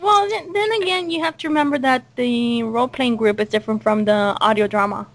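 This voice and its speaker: A woman's voice: fairly high pitch, a little fast, fairly bright tone. A woman hosting a casual group interview with fellow voice actors, reading out questions and chatting. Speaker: interview host and voice cast member.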